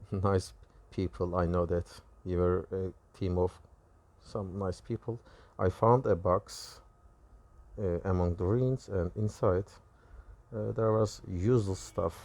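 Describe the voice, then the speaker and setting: A man with a Turkish accent and Turkish as his first language gives a personal testimony, recounting visions in halting, self-correcting phrases. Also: sits low, gravelly, a little mellow. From Turkey. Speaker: religious video creator.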